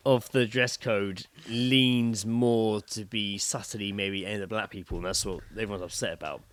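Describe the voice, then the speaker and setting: A man's voice: lowish voice, fairly even intonation, moderate pace, a bit bright. A British man co-hosting a podcast, telling stories in casual, blokey and very sweary chat. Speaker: podcast co-host.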